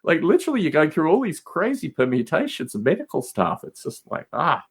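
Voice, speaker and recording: A man's voice: fairly high pitch, huge pitch swings, brisk, slightly rough. A man in his forties talking casually as a podcast guest, emphatic and given to repeating phrases for stress. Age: 40s.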